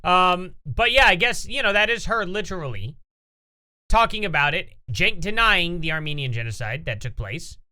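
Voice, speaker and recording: Guy ranting on his commentary channel, bright sharp voice, pitch jumping all over. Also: lively intonation, normal speed. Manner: opinionated, rambling, reads tweets aloud. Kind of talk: casual reaction commentary.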